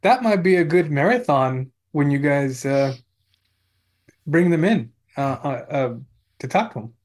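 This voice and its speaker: A man's voice: on the high side, expressive, measured pace, a little mellow. A man in casual radio conversation, eager and often repeating his words.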